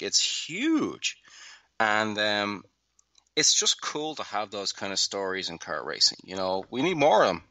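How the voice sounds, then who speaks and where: A man from Ireland with an Irish accent, a podcast guest chatting in a relaxed way with loose swearing. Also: lowish voice, dry recording. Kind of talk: casual podcast chat.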